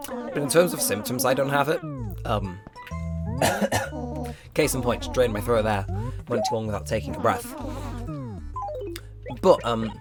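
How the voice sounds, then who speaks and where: A young man with a slightly hoarse, strained throat, rambling casually with lots of fillers while commenting on a game. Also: medium pitch. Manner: rambling, filler-heavy, self-mocking. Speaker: let's play host. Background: game music.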